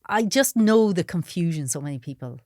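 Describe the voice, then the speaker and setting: Irish woman, low voice, chatty teacher. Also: expressive, brisk, darkish tone, no room sound, clean studio sound. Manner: enthusiastic, chatty, frequent agreeing "yeah". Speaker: guest, Irish language teacher. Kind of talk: casual interview chat.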